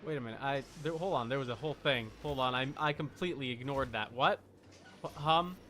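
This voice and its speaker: A man's voice: mid-range voice, a little fast, a bit bright, slight background noise. A man chatting casually as he streams a game, rambling and repeating his phrases as he reacts to play. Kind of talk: casual live gameplay chat. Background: game audio.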